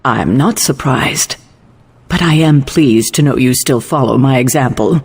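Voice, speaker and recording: Husky fatherly mentor, reverberant. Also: mid-range voice, measured pace. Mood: fond, gentle. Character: gentle, fatherly male mentor, affectionate and mischievous. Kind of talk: video game cutscene acting. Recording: long hall-like reverb.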